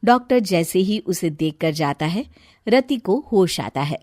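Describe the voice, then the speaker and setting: A woman narrating a scripted story in an expressive storyteller's manner. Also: fairly low pitch, measured pace, no room sound, studio quality.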